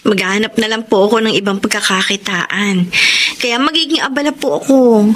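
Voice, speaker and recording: A woman's voice: mid-range voice, normal speed, lightly acted. An actress playing a polite, humble young woman and dutiful daughter in a radio drama. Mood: respectful, concerned. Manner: respectful, earnest. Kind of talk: Tagalog radio drama acting.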